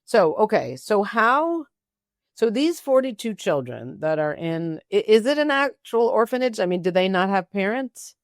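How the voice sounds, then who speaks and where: A woman's voice: medium pitch, big pitch swings, normal speed, no room sound, studio quality. A woman hosting a casual interview, talking informally and thinking aloud as she goes. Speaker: interview show host.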